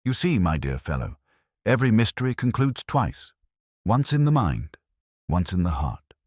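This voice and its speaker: A man voicing a calm, philosophical gentleman detective in an audiobook, speaking deliberately and with quiet reason. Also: lowish voice, dry recording, clean studio sound, pitched above the performer's own voice. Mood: reflective. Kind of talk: audiobook character dialogue.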